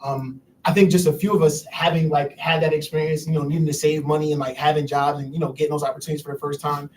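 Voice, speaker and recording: A man's voice: on the high side, flat delivery, recorded from a distance, slight background noise, poor audio. A young man from Albany, New York, on a youth panel, talking in long run-on sentences full of "like". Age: young adult. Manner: fast, run-on talk full of "like".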